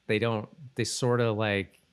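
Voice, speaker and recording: A man co-hosting a podcast in casual discussion, easygoing and halting as he works out his thoughts. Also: on the low side, little pitch movement, clear, resonant tone. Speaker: podcast co-host.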